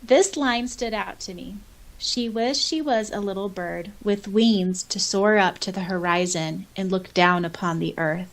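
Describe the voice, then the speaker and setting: A woman with a medium-pitched voice co-hosts a casual fan podcast. She talks in a chatty, informal way and is quick to giggle. Her delivery is conversational, moving between reading comments and reacting to them. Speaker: podcast co-host.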